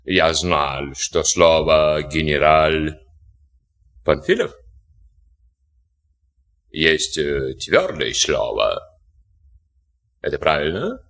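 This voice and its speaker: Arrogant German officer in a war film, broken Russian, deep voice, slow. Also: male voice, very animated, a bit bright, exaggerated voice. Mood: wary.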